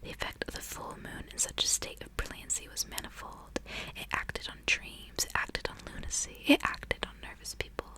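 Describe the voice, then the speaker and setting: A woman reading aloud in a soft, breathy, hushed whisper, in an intimate ASMR style. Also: normal speed. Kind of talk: whispered ASMR audiobook reading with casual asides.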